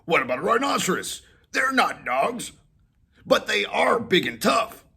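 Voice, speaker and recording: A man voicing Molly, a cheerful female collie, in a high squeaky excited voice while reading a storybook aloud. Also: a little slow, gravelly, breathy edge, exaggerated voice. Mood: chirpy.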